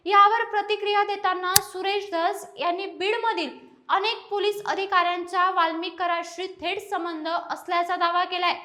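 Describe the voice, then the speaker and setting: A woman's voice: very high-pitched, sharp tone. A woman presenting a scripted news explainer.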